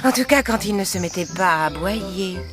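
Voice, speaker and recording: A woman's voice: medium pitch, expressive, normal speed, a bit bright, natural voice. Woman voicing a proud, lilting old cartoon cat. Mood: nostalgic. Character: proud old female cat, former opera soprano. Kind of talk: French animated fairy-tale dub. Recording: music behind the voice.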